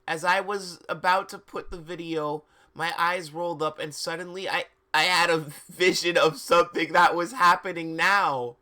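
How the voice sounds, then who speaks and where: Livestream host with a bright, forceful voice, theatrical and mocking, reading fan fiction and commenting casually. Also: mid-range voice, fairly even intonation, normal speed, few pauses. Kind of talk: comic dramatic reading with casual asides.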